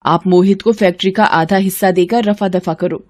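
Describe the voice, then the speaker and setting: A woman voicing a scheming, haughty young daughter-in-law in an animated moral story, taunting and commanding. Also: mid-range voice, normal speed, lightly acted. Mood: sly, sweet-talking.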